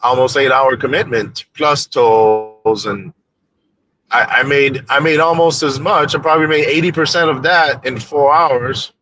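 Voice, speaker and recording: A man from Canada, co-hosting a rideshare live stream in casual, halting chat. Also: mid-range voice, low-quality recording. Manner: halting, restarts often. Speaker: rideshare podcast co-host.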